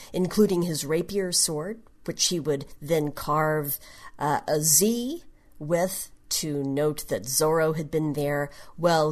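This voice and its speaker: A woman gives a prepared podcast talk as a segment presenter. She speaks slowly and explains carefully, in a slightly low voice. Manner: measured, explanatory. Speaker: genre history segment presenter.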